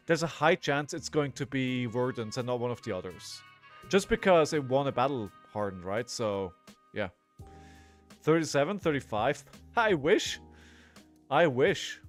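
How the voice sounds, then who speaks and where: A man in his forties giving casual, rambling live commentary on a card game, thinking aloud and chatting to viewers. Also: mid-range voice. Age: 40s. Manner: rambling, thinking aloud, frequently checking in with "right?".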